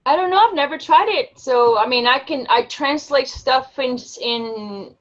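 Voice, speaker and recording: A woman's voice: high voice, measured pace. A woman from Rico, a Spanish speaker, talking earnestly on a group video call and sometimes searching for words. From Puerto Rico. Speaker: call participant. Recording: dull lo-fi call audio.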